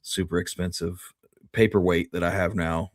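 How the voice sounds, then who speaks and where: A man's voice: sits low, fairly even intonation, moderate pace, no echo, clean studio sound. A man hosting a show, talking in a casual, chatty style. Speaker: podcast host. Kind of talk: casual chat.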